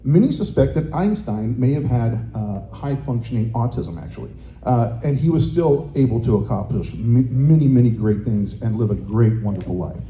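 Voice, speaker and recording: A middle-aged man giving a conference talk on a handheld microphone in a hotel meeting room, loud and booming, with many fillers and restarts. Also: lively intonation, normal speed, hardly any pauses, muffled recording. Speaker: conference presenter. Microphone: handheld microphone through room speakers. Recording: echoey conference room, faint noise.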